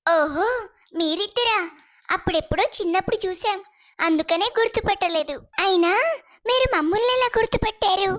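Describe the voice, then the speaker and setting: Lady playing a sweet dutiful young girl runner in a cartoon, super high voice, earnest and polite. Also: a little fast, a bit bright. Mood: polite, surprised.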